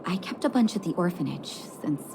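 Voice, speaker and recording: A woman voicing a gentle, composed doctor in a video game, speaking in a soothing, measured way. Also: fairly low pitch, animated, noisy background, close to an everyday voice. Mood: kindly, practical. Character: gentle, composed female doctor caring for an underground town. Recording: game music behind the voice. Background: music.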